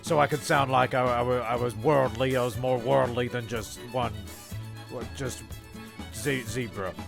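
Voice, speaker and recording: Male comedy host, heavy vocal fry, rambling narration, colloquial, mock-serious, stammering, music behind. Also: mid-range voice, fairly even intonation, moderate pace.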